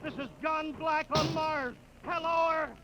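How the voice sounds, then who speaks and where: Male lead: stranded space captain, very high strained pitch, urgent pleading, old radio play with loud score. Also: fairly even intonation, measured pace, highs cut off, over music. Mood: desperate, pleading panic. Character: desperate trapped spaceship captain pleading with Earth. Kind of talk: 1950s radio drama acting. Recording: vintage radio recording with loud background.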